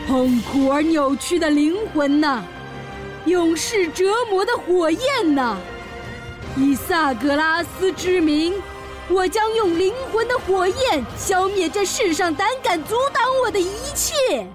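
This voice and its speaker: A woman's voice: extremely high pitch, animated, unhurried, over music. A woman voicing a fiery, proud orc mother in a fan audio drama, imperious and declamatory. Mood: grandly incanting.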